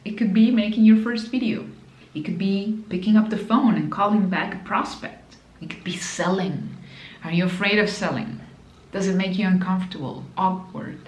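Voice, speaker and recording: A woman's voice: mid-range voice, moderate pace, darkish tone, roomy sound, low-quality recording. Spanish-accented woman, emphatic motivational speaker. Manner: emphatic, repeats key phrases, addresses viewer directly. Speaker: business mentor. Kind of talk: motivational talk to camera.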